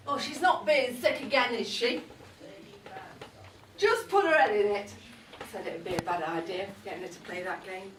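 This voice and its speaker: A woman's voice: medium pitch, moderate pace, fairly bright tone, natural voice. A woman playing a cheeky, boozy older mother-in-law, gossiping in a wry, bawdy, confiding comic monologue. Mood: wry, exasperated. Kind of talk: comic dramatic monologue read to a live audience. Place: indoor live event at a pub. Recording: roomy, distant live recording with audience noise. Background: audience crowd.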